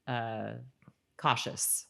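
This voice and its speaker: A woman's voice: a bass voice, steady tone, very slow, creaky, fairly bright tone. A woman co-hosting a podcast, recapping a TV show in a casual, agreeable, conversational way. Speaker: podcast co-host. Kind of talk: casual TV-recap chat with some read-out episode summary.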